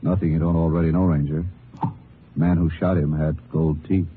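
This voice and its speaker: A man acting as a laconic, businesslike American doctor in a radio drama, matter-of-fact and unhurried. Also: a bass voice, flat delivery. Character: laconic, businesslike small-town hospital doctor. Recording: muffled vintage radio broadcast, faint noise.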